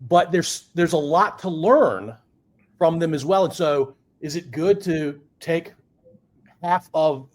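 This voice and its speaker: A man hosting a podcast, asking questions in a halting, hesitant way full of restarts. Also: on the high side, animated. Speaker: podcast host. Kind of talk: casual conversational interview.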